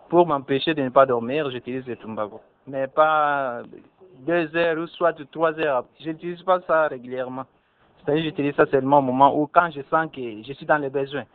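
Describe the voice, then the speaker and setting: Young man, halting speech. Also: a little high. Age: young adult. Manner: hesitant, halting explanation. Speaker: interviewee. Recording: narrow phone-like band.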